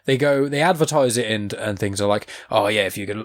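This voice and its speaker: Fast-talking young man. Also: lowish voice. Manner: rambling, animated, swears casually. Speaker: podcast host. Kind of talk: casual nerd chat.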